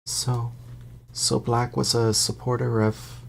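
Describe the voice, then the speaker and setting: A man's voice: mid-range voice, flat delivery, takes it slowly, vocal fry, a little hiss or hum, voice pushed up. A man voicing a nervous, polite teenage boy who speaks hastily and apologetically. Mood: apologetic. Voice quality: hasty, apologetic.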